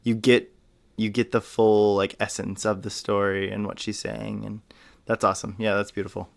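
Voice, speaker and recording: A man's voice: lowish voice, little pitch movement, moderate pace, vocal fry, dry recording. A man hosting a podcast in a casual conversational interview style, with an enthusiastic, affirming manner. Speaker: podcast host.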